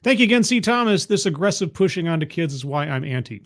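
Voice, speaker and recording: A man's voice: on the high side, animated, fast talker. A man commenting live on a video he is watching, making wry, skeptical asides and thanking viewers in the chat. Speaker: streamer reacting to a video. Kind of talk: casual live-stream commentary.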